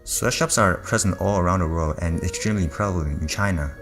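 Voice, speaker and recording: A man's voice: low-pitched, fairly quick. A student man reading a scripted narration steadily, full of figures and statistics. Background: music.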